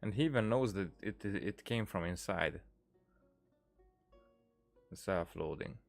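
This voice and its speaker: A man giving casual gameplay commentary and reading dialogue aloud, halting as he thinks out loud. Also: low-pitched, lively intonation, gravelly, soft background music. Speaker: streamer, let's-player.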